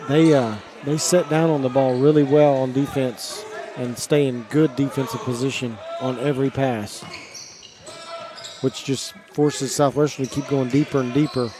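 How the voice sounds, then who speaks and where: A man's voice: medium pitch, fairly even intonation, moderate pace. A man giving conversational, analytical color commentary on a basketball game.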